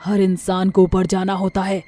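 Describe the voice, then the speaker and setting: A man voicing a cruel, cackling female witch in a cartoon horror story, with slow, menacing threats. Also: sits high, completely flat delivery, warm tone, faint music behind, pitched above the performer's own voice, natural voice.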